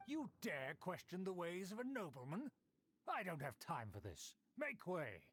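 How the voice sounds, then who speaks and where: A man's voice: high voice, huge pitch swings, unhurried, fairly bright tone, slightly rough, full character voice. An actor voicing a haughty, entitled nobleman in a video game, speaking with pompous, formal grandeur. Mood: indignant. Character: haughty, entitled nobleman of a fallen clan, easily affronted.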